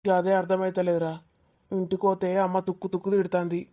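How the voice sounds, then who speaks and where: A woman's voice: lowish voice, monotone, fast, warm tone, close to an everyday voice. A woman voicing a grumpy, lovelorn young male student in a cartoon village comedy, with a Telangana accent and a complaining, bickering manner. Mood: anxious, complaining.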